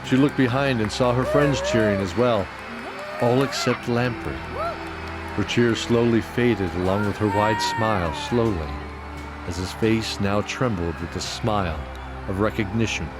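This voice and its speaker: Low-voiced man narrating fantasy. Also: measured pace, a loud crowd. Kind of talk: scripted fantasy storytelling narration. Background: music.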